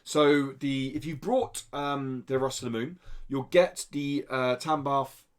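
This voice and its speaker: A man's voice: medium pitch, steady tone, unhurried, smooth. A man hosting a podcast in a studio, reading out indie game news in an informal, explanatory way. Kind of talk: casual podcast news chat. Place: indoor studio.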